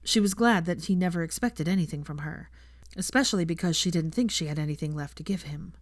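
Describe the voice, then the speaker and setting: A woman's voice: on the low side, fast, no echo, clean studio sound. A woman narrating scripted fiction as an audiobook reader.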